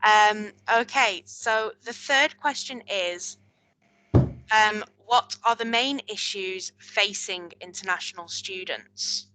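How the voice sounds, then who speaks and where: A woman moderating an online candidate question session, brisk and polite, over a video call. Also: medium pitch, bright, forceful tone, rough recording. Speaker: hustings moderator.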